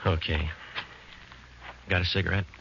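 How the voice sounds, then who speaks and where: A man's voice: low voice, flat delivery, measured pace, gravelly, slight background noise, exaggerated voice. An American man playing an unruffled police detective sergeant in an old radio crime drama, clipped and matter-of-fact. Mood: dry, low-key. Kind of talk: 1940s-50s radio crime drama acting. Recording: dull old radio recording, loud music.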